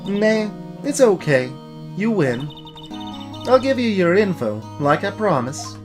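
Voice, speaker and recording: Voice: medium pitch, very animated, slow pace, over music. A let's play host voicing a brash, folksy young woman with an American Southern drawl. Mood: resigned.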